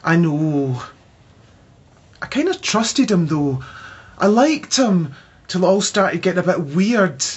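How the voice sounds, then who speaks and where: A woman's voice: fairly low pitch, big pitch swings, slow pace, long pauses, a little mellow, husky, full character voice. An actress playing a polite, uneasy young Scottish woman in a naturalistic radio drama. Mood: frank. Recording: dull sound, faint background noise.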